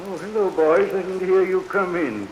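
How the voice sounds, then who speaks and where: An actor playing a gentle, eerie old morgue attendant who talks with the dead, earnest and folksy, in a vintage radio drama. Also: male voice, high voice, moderate pace, highs cut off, lightly acted. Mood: kindly, matter-of-fact. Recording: vintage radio recording with rain hiss. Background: steady rain.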